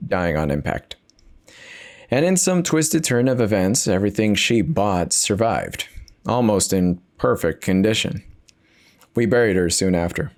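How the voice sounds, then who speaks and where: A man giving a dramatic reading of a first-person horror story, somber and confessional, with dramatic pauses. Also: low voice, darkish tone.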